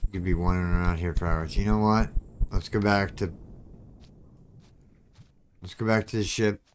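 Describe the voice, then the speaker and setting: A man's voice: low-pitched, steady tone, moderate pace, a little hiss or hum. A man hosting a gaming channel, chatting casually to viewers as he plays, with something clogging his throat. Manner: chatty, talks to viewers while playing. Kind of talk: casual gameplay commentary.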